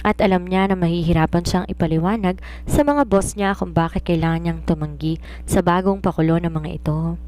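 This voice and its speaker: A Filipino woman reads a romance novel aloud as a storyteller. Her voice sits a little low for a woman, and she follows the script in narration style. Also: fairly even intonation, brisk, darkish tone.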